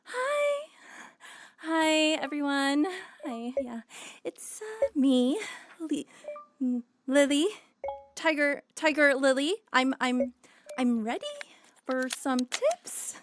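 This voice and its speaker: An actress playing a flustered, scrappy young woman in a scripted audio comedy, hesitant, stammering and excitable. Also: high voice, takes it slowly, no echo. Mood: nervous. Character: flustered, scrappy young woman starting an online dominatrix show. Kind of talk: scripted audio comedy acting and first-person narration.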